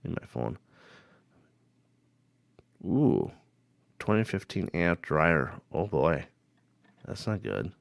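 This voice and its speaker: A man hosting a paranormal live stream, hoarse from a cold, rambling casually and greeting viewers by name. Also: sits low, sing-song, slow pace, long pauses, dry recording.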